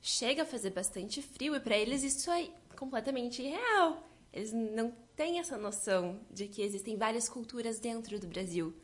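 A young woman from southern Brazil, answering interview questions in a lively way and laughing often. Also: fairly high pitch, moderate pace, hardly any pauses. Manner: lively, laughs often, quotes others' reactions. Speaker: guest.

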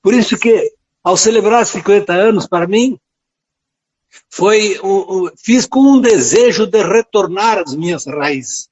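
A man in his late seventies with a southern Brazilian accent from Rio Grande do Sul, a priest telling his story in a halting, earnest, devout way. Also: sits high, a little mellow, dull audio. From rural southern Brazil. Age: late 70s. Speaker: priest and friar, interview guest. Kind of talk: reflective personal storytelling in a radio interview.

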